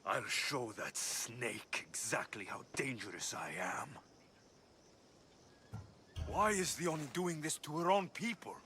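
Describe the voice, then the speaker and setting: A man playing a gruff, hot-tempered, sardonic Greek mercenary with a Greek accent in a video game cutscene, speaking bluntly. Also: medium pitch, lively intonation, measured pace, pauses often, slight background noise. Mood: angry, threatening. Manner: forceful, blunt.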